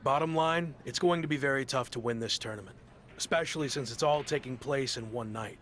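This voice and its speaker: A man's voice: medium pitch, brisk, fairly bright tone, close to an everyday voice. Guy acting as a tired wrestler chasing a comeback, dry delivery, game cutscene, noisy background. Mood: determined. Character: weary, determined male pro wrestler seeking a comeback.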